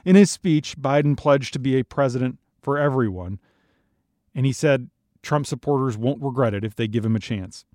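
An American man narrates scripted news as a podcast host. His voice sits a little high and is recorded very dry, with no room sound around it. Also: moderate pace, a little mellow, dry recording.

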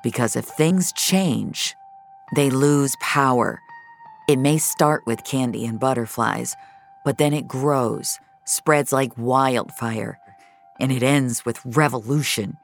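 Woman doing hardened, weary male mentor, low and slow. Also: expressive, faint music behind, heavily acted. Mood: grave, deliberate. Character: Frank, hardened, resentful male mentor turned captor. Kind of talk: audio fiction acting.

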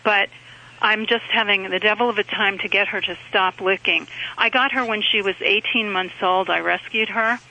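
A woman's voice: medium pitch, steady tone, moderate pace, sharp tone, slight background noise, rough recording. A woman from West Virginia calling a radio show, explaining her problem in a friendly, rambling way and correcting herself. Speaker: caller. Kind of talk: phone-in question.

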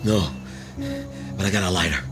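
A man's voice: low-pitched, normal speed, natural voice. An actor playing a frightened man who was held captive, speaking in an anxious, pleading way, in an old radio drama. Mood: shaken. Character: frightened male captive turned survivor. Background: music.

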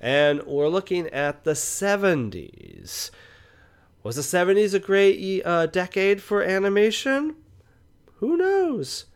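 A man's voice: sits high, huge pitch swings, slow, clean tone. A man hosting a film review podcast in casual conversation, often checking in with short questions. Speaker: podcast host.

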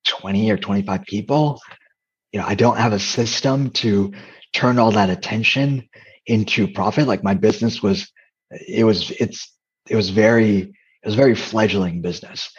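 A soft-spoken man, a dating coach and comedian, giving reflective interview answers and often restarting his sentences. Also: lowish voice, husky, dull audio. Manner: hesitant, with frequent restarts.

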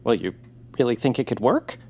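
A man's voice: medium pitch, very animated, brisk, gravelly, mellow, a little hiss or hum. An actor playing a timid, self-doubting radio actor who is nothing like his heroic role, speaking meekly with wavering words. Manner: meek, self-deprecating, wavering. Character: timid male radio actor, gentle, fussy. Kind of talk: audio drama acting.

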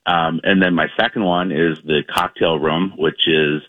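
A man's voice: very deep voice, steady tone, measured pace, narrow, tinny audio, a little hiss or hum. A man answering informally as an interview guest, promoting the place he works for. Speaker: interview guest, airport commission representative.

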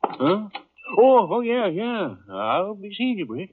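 An actor playing a good-natured frontier storekeeper with a rustic, chuckling drawl in a 1950s Western radio drama. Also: male voice, high voice, sing-song, slow pace, very noisy, full character voice. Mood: baffled. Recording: dull vintage radio recording.

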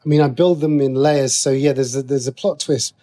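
A man with a mid-pitched voice talks as a guest in an interview. He explains his craft conversationally, in a halting manner, circling back to rephrase his thoughts. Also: steady tone, mellow. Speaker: interview guest, TV and radio writer.

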